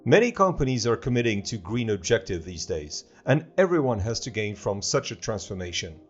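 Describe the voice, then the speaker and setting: A man hosting a podcast, reading a scripted introduction and asking questions in a formal, deliberate way. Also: fairly low pitch, very animated, slightly rough, highs cut off, faint music behind. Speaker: podcast host.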